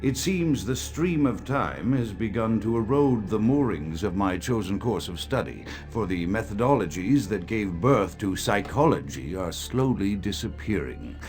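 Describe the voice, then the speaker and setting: An actor playing an ancient, mad vampire psychiatrist in a video game, delivering a formal, pompous, archaic monologue. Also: male voice, on the low side, animated, a little slow, few pauses, darkish tone. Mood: learned, aggrieved. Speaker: recorded audio diary narrator in a video game. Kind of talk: theatrical scripted monologue. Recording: faint music behind the voice. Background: faint music.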